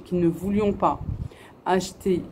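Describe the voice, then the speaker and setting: A woman's voice: lowish voice, fairly even intonation, a little slow, darkish tone, a little hiss or hum. A middle-aged woman from France, chatting earnestly to camera and answering viewers' questions, with many fillers and restarts. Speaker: family vlogger. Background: faint outdoor ambience.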